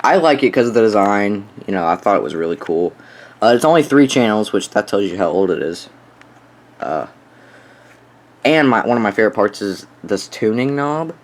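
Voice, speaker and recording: A young man giving a casual, offhand showcase of his collection, halting and often trailing off. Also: on the low side, expressive. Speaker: collector showing weather radios. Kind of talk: casual product showcase vlog.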